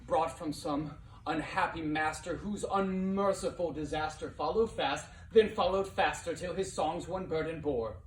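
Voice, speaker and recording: A man giving a dramatic poetry reading as narrator, theatrical in manner, swelling from hushed to impassioned delivery. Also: a little high. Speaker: narrator reading a poem aloud. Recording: roomy, distant mic with faint noise.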